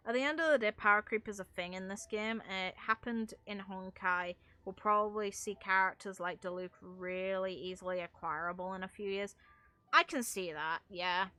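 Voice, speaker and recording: A woman's voice: medium pitch, steady tone, normal speed, bright, forceful tone. A woman doing casual gaming commentary as a streamer, rambling and opinionated with some casual swearing. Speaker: game streamer.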